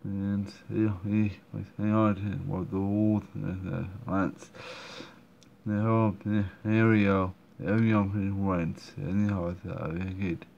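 Guy mumbling thru a game, slow and flat, stopping and restarting a lot. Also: low-pitched, gravelly. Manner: hesitant, many fillers and restarts. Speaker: player commenting on a game. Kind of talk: halting casual commentary. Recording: dull muffled recording, faint room noise.

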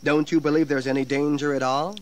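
An actor playing a proud, defensive colonial militia colonel, formal and protesting, in a historical audio drama. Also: male voice, a little high, flat delivery, fairly quick, close to an everyday voice. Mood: insistent. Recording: 1980s audio drama with background noise.

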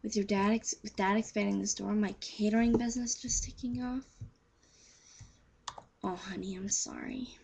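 A teenage girl voicing a caring, apologetic working mother with a gentle manner, in an amateur audition. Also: mid-range voice, steady tone, moderate pace, creaky, long pauses, noisy background, close to an everyday voice. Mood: regretful.

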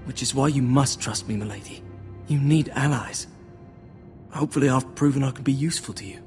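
An actor playing a humble, earnest young servant in a fantasy video game, deferential and coaxing in manner. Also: male voice, medium pitch, a little fast, a little mellow, soft background music, lightly acted. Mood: earnest, pleading. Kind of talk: dramatic video game acting.

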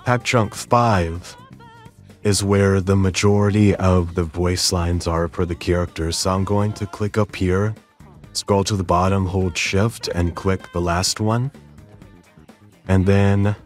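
A man with a low voice hosts a software tutorial. He explains things step by step, with music playing clearly behind him. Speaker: tutorial host. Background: background music.

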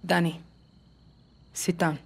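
A woman's voice: lowish voice, little pitch movement, slow pace, vocal fry, a little mellow, husky, full character voice. An actress playing a blunt, defiant young female guerrilla in a video game. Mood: guarded defiance. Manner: blunt, fiery. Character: defiant young woman guerrilla, hot-tempered, foul-mouthed. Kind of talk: cinematic video game acting.

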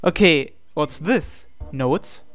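A let's play host reading a visual novel's hero lines and narration aloud in English, in a plain, unhurried way. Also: medium pitch, soft background music.

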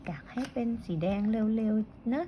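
A woman voicing a group of young, eager, impatient little mice in a storybook reading, with a high, whiny childlike voice. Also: measured pace, natural voice. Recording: dull lo-fi with faint noise.

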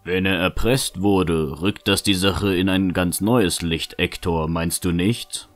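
A shrewd, calm fantasy adventurer, played by a man with a deep voice. He reasons in measured, well-chosen phrases like a strategist, over faint music. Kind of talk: fan-made fantasy audio drama acting.

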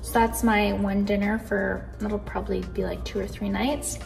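A young woman from Canada chatting casually in her kitchen, bubbly and easily excited, filmed on a phone camera. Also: medium pitch, normal speed, gravelly, faint music behind. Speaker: vlogger. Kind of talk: casual grocery haul chat. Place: kitchen indoors.

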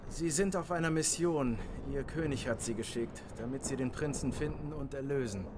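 A man's voice: medium pitch, moderate pace, background noise, natural voice. A man voicing a chatty, wry male fellow prisoner who talks in an amused, easygoing way. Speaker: fellow captive. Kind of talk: German game dub.

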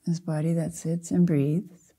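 Casting: older female meditation teacher, very dark, mellow tone, soft and husky, gentle measured phrasing with long pauses. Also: low voice, little pitch movement. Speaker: Zen teacher leading a guided meditation. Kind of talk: slow guided meditation.

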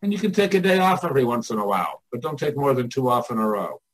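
A man's voice: medium pitch, big pitch swings, quick pace, breathy edge, rough recording. An older man chatting casually and motivationally as a coach, candid, folksy and given to swearing. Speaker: artists' coach and mentor, podcast guest.

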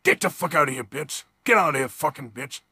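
A man's voice: on the high side, a little fast, sharp tone, slightly rough, dry recording, studio quality. A man doing a crude, improvised comedy skit voice-over, exclaiming, ranting and swearing. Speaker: comic voice-over performer.

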